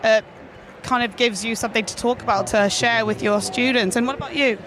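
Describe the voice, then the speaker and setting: Upbeat female roving reporter, high voice, busy expo crowd behind. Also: steady tone. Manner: upbeat, quick questions. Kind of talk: vox-pop interviewing. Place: busy trade show hall. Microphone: handheld mic. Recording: crowd noise of a trade show.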